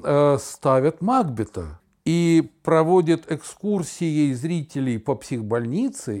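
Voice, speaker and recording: A man's voice: fairly high pitch, a little mellow. An older man chatting casually as a podcast host, telling stories in a theatrical, digressive manner. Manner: theatrical, digressive, illustrates with sound imitations and examples. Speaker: podcast host, father talking with his daughter. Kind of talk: casual podcast conversation, storytelling.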